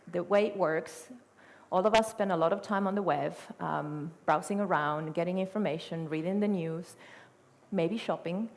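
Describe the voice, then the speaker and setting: A woman's voice: fairly low pitch, creaky. A middle-aged woman from Argentina with an Argentine Spanish accent, a native Spanish speaker, giving a conference presentation full of fillers, self-corrections and rhetorical questions. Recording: reverberant hall.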